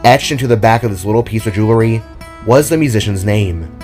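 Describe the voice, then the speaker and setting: A man narrating a scripted audio drama story in a deliberate, expressive storytelling manner. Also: on the low side. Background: solo acoustic guitar music.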